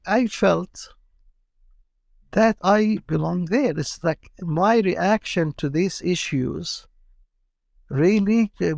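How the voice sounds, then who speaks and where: A middle-aged man from Palestine, a teacher speaking as a guest in a casual interview, halting and often repeating his words. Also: a little high, creaky, a little mellow, dry recording.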